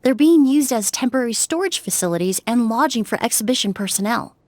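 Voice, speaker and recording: A woman's voice: on the high side, expressive, a little mellow, no echo, close to an everyday voice. A woman playing a poised young perfumer. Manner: polite, composed, measured. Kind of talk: video game voice acting.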